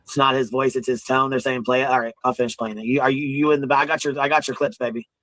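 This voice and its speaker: A deep-voiced man runs a casual livestream, talking fast and rambling through his points. He swears freely and keeps checking in with the listener. His voice sits close and dry on the mic. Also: fairly even intonation, fairly bright tone, dry recording. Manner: rambling, profane, repeats "you know what I mean". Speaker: livestream commentary host.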